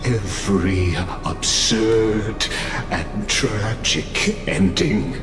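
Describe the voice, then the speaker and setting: An actor playing a grandiose, sardonic master of ceremonies who savors each phrase in a dramatic video game monologue. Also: male voice, fairly low pitch, drawn-out words. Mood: grand, beckoning. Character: theatrical, sardonic male master of ceremonies guiding a visitor. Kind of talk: dramatic scripted game monologue, quasi-Shakespearean. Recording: echoing voice over loud music.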